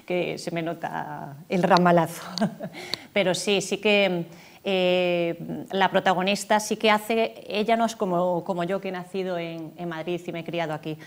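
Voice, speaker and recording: Galician woman guest on local tv, lowish voice, lots of eh's and restarts. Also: steady tone, unhurried, vocal fry. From Galicia, Spain. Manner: hesitant, many fillers and restarts. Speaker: author guest. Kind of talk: TV studio interview. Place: TV studio.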